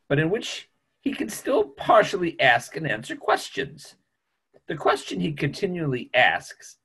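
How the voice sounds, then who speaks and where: A middle-aged man playing a careworn, formal family doctor in an online play reading, with measured, hesitant phrasing. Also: a little high, a bit bright, breathy edge, full character voice. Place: small room at home, video call.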